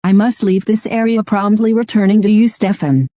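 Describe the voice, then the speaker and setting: A formal TV field reporter acted by a woman delivers a scripted cartoon news report. The voice is soft-edged and mellow, captured close and dry. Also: medium pitch, normal speed, no room sound, natural voice. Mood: formal, measured.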